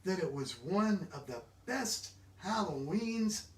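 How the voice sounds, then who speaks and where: Male book reader, slightly high voice, reading aloud, roomy and distant recording. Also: lively intonation, takes it slowly. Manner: stumbles over long words. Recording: echoey room, distant microphone.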